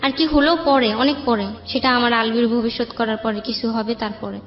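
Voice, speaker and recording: High-voiced young Bangladeshi woman telling her story. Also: steady tone, moderate pace. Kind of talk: personal storytelling in an interview. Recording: dull roomy sound, background music.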